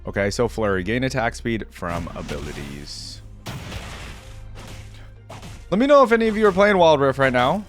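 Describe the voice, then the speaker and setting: A man with a mid-pitched voice hosts a casual gaming reaction. He moves between reading aloud and offhand commentary. Music plays along with him. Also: sing-song, moderate pace, long pauses. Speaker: reaction video host.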